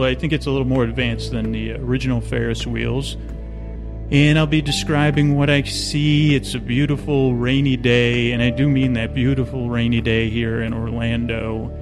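A man hosting a sleep podcast in a meandering, hesitant, slightly goofy soothing monologue, recorded on location inside an observation wheel cabin. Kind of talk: rambling, soothing bedtime monologue. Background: low ambient hum, faint.

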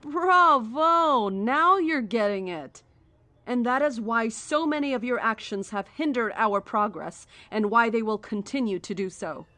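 A woman playing a calm, zealous cult leader in a horror audio drama, speaking in a measured, formal, persuasive way. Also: on the high side. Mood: coaxing, zealous.

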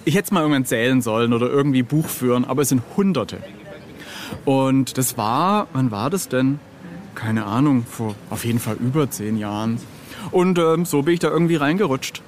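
A man's voice: mid-range voice, expressive, moderate pace. A middle-aged man chatting casually as an interview guest, telling anecdotes in a jokey, self-deprecating way.

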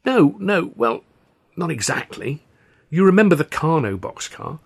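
A man's voice: a little high, very animated, unhurried, creaky, breathy edge, voice pushed up, full character voice. An anxious young wife with a lifted, pleading voice, as a male narrator puts her on. She talks eagerly and presses her plans with rising urgency in a spoken storybook.

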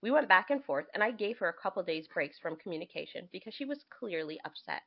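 Expressive woman with wide-swinging intonation. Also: lowish voice, fast talker, creaky, muffled recording. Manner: expressive, reacts to what is read. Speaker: podcast co-host. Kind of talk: casual chat and reading letters aloud.

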